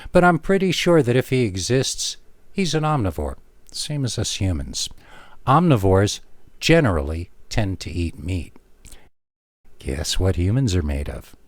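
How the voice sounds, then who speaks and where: Animated male horror story narrator, low-swinging pitch. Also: dry recording. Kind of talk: dramatic scripted first-person story narration.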